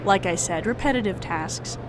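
A woman playing a brisk, folksy front-desk clerk in a radio drama, matter-of-fact in manner. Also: medium pitch, background noise, lightly acted.

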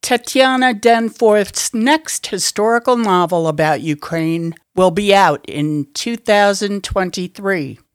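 A woman hosting a weekly radio interview show, giving measured, formal introductions and asking questions. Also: medium pitch, smooth, no room sound, studio quality. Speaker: radio host.

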